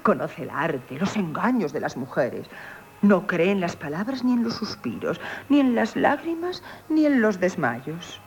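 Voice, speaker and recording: An actress with a Castilian Spanish accent playing a witty, proud young innkeeper in a theatrical radio comedy, teasing and emphatic. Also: female voice, on the low side, very animated, measured pace, muffled recording. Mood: teasing, triumphant. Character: witty, coquettish, proud young female innkeeper, mock-humble. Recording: hissy 1970s radio broadcast.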